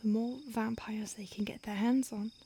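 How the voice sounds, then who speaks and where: A woman's voice: fairly high pitch, little pitch movement, moderate pace, warm tone, slight background noise, natural voice. A woman voicing an ancient female vampire on the run, speaking in an urgent whisper in an audio roleplay. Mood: hushed urgent.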